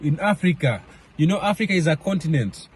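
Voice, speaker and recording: A young man from Kenya talking casually to camera in a vlog, halting with many fillers and restarts. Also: on the high side, expressive, husky. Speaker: vlogger. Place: home in Madrid area.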